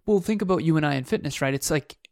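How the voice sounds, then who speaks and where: A man's voice: fairly high pitch, fast, a little mellow, dry recording, studio quality. A man co-hosting a podcast, musing aloud in casual chat with frequent restarts. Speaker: podcast co-host.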